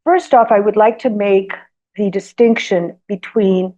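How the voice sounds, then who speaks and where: A woman whose first language is Greek, a guest telling personal stories in an interview. She talks hesitantly with many ums, and grows animated during her anecdotes. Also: medium pitch, measured pace. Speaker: guest author. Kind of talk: storytelling in an interview. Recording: slightly dull lo-fi sound.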